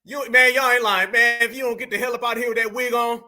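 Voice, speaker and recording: Emphatic high-voiced man commenting on clips. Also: steady tone, fast, bright, forceful tone. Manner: emphatic, addresses viewers as family. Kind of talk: casual reaction commentary.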